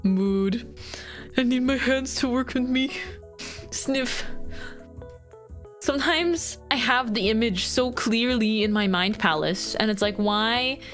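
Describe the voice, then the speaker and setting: Slow, giggly young woman chatting. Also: fairly high pitch, fairly bright tone, faint music behind. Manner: chatty, giggly, rambling with fillers. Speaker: streamer chatting with chat while drawing. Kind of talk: casual live-stream chat.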